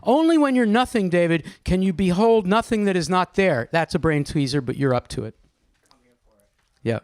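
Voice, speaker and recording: Male discussion leader, high register, swooping intonation, encouraging and inquisitive, live group setting. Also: normal speed. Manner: animated, questioning, encouraging. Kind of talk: lively seminar-style poetry discussion. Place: live group event with audience microphones.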